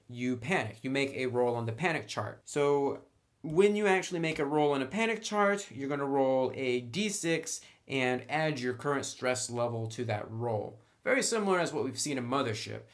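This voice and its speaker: Casual man reviewing a tabletop game. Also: medium pitch.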